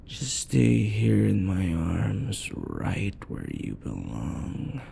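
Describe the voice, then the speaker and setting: A man playing an unhinged, possessive yandere predator lover in ASMR roleplay, often slipping into breathy whispers and a menacing croon. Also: deep voice, big pitch swings, extremely slow, warm tone, slight background noise, full character voice. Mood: hungry, possessive.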